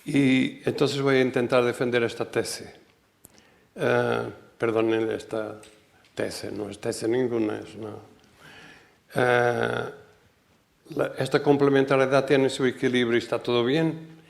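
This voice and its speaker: An older Portuguese man speaking at a public talk, first in hesitant Spanish with a Portuguese accent, then reading a prepared text. He restarts his words often and drops in dry jokes at himself. His voice sits at an ordinary middle pitch. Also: flat delivery, vocal fry. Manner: halting, self-correcting, with wry humor. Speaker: guest architect speaker. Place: lecture hall.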